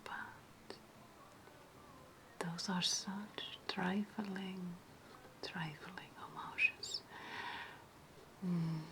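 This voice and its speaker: A woman playing a sly, menacing ancient Roman witch hostess in a whispered ASMR roleplay, speaking deliberately with repeated phrases and lingering hums. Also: on the low side, fairly even intonation, sharp tone, exaggerated voice. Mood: disdainful, conspiratorial.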